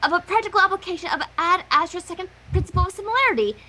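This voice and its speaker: A woman's voice: very high voice, expressive, very fast, pushed and bright, heavily acted. A woman voicing a studious, easily flustered young female pony in amateur fan fiction acting, prim and emphatic. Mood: flustered, defensive.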